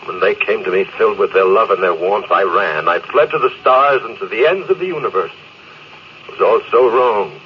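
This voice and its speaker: An actor playing a doomed spaceship captain, regretful and resigned, in a wistful, confessional 1950s radio drama style. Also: male voice, on the low side, fairly quick, natural voice. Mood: bitter regret. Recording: noisy muffled vintage radio recording.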